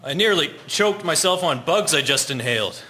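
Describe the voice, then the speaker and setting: An actor playing a hardworking, plain-spoken carpenter in an audio drama. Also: male voice, fairly high pitch, expressive, normal speed, bright, forceful tone. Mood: disgusted. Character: hardworking male carpenter, proud, stubborn, later sickly. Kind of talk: scripted audio drama acting.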